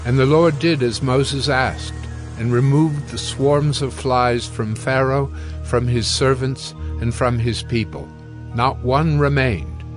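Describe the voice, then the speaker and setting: Male narrator, mid-pitched, very slow, solemn scripted scripture reading, soft music behind.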